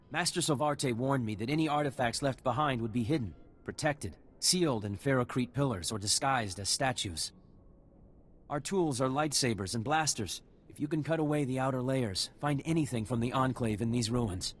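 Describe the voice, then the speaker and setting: An actor playing an earnest, deferential young Jedi explorer in a video game. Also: male voice, mid-range voice, slight background noise, natural voice. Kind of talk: video game character acting.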